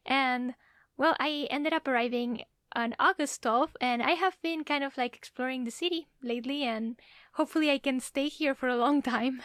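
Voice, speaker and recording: A woman's voice: high-pitched, normal speed, a bit bright, no room sound, clean studio sound. A young woman speaking casually and a bit hesitantly as she introduces herself on a student podcast.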